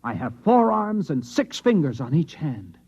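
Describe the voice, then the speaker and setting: Radio play: frightened man claiming to be Martian. Agitated, very animated, pleading, muffled lo-fi vintage sound. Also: fairly high pitch, a little slow, breathy edge, exaggerated voice. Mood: grave, haunted. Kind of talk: old-time radio acting. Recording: dull, lo-fi old radio recording.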